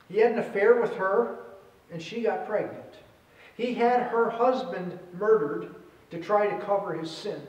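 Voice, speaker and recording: Middle-aged man as preacher: high-set voice, short measured phrases, roomy church sound. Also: a little mellow. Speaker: pastor. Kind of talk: sermon with scripture reading. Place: indoors at a church pulpit. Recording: roomy echo, distant microphone.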